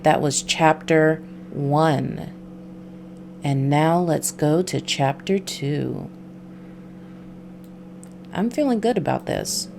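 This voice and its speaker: Low-voiced woman streaming a visual novel. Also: gravelly, a little mellow, slight background noise. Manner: musing aloud over choices. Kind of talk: casual game stream commentary. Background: faint background music.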